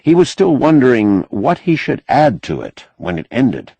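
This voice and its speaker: A man reading fiction as an expressive audiobook narrator. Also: medium pitch, normal speed, breathy edge, dry recording, dull audio.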